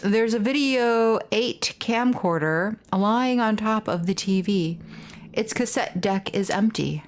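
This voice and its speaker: A woman's voice: medium pitch, lively intonation, slow pace, slight background noise. A woman doing let's play narration, reading on-screen text theatrically with casual asides. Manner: reads dialogue theatrically between casual asides. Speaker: streamer reading game dialogue aloud.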